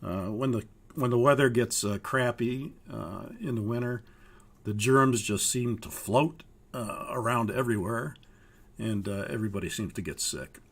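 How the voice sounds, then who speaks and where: An older man from Minnesota, hoarse from a cold, walking students through a flight training course in a halting way with many pauses. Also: medium pitch. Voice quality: gravelly, husky. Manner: halting, with frequent uhs. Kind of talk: live online course walkthrough.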